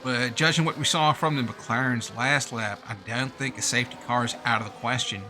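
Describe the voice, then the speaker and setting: A man's voice: mid-range voice, fairly even intonation, a little fast, bright, forceful tone, slight background noise. A man doing live sim-racing commentary in a put-on American accent, informal and slangy, with casual asides. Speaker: race commentator and streamer.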